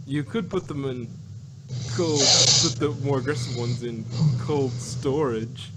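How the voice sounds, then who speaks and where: A man's voice: mid-range voice, slow. A man co-hosting a review show, chatting casually in a wry, musing and hesitant way. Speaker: podcast co-host. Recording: clear background noise.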